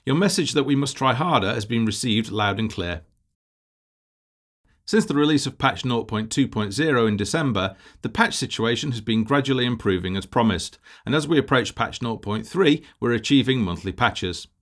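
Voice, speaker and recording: A man narrating a scripted presentation as a project lead, in a measured, formal, businesslike way. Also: medium pitch, fairly bright tone.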